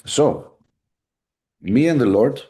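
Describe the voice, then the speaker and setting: A man's voice: medium pitch, animated, slow, warm tone. A young man with a Greek accent, hosting a casual live podcast chat in a blunt, profane, candid way. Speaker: podcast host.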